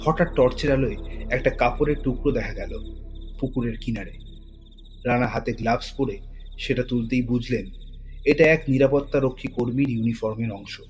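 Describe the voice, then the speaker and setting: A man's voice: medium pitch, moderate pace, darkish tone. A man narrating a scripted thriller story in a dramatic style. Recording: voice over music bed.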